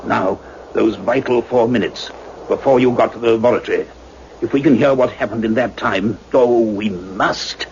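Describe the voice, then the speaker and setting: An actor playing an earnest, commanding scientist in a radio play, deliberate and urgent in his questioning. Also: medium pitch, a little mellow, close to an everyday voice. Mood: tense anticipation. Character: earnest, commanding male scientist doctor, solemn and probing. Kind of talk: radio play acting and narration. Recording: muffled, noisy radio play recording.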